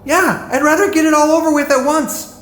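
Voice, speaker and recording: A man's voice: very high voice, fairly even intonation, a little fast, a bit bright. A man playing a desperate, defiant detainee who argues in a confrontational, impatient way in an audio drama. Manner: confrontational, pointed. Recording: echoey room with faint noise.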